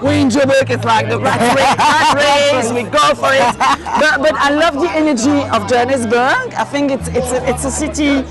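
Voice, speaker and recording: A woman's voice: high-pitched, steady tone, moderate pace, hardly any pauses. Woman at a noisy event. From Belgium, Brussels. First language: French. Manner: many restarts and repeated words, chatty. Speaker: chamber of commerce representative, guest. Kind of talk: casual interview at an event. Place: busy outdoor food event. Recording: loud crowd and music behind.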